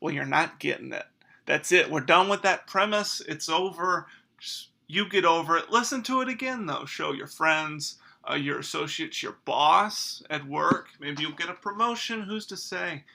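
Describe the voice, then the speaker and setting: A man hosting a comedy podcast outro in a dry, mock-scolding, halting way. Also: on the high side, lively intonation, creaky, sharp tone. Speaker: podcast host.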